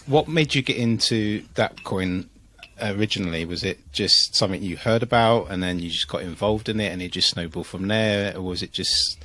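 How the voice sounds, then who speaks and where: Low voice co-host dude, curious, asks a bunch of questions in a row. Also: male voice, normal speed, bright, forceful tone. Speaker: podcast co-host. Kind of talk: casual podcast interviewing.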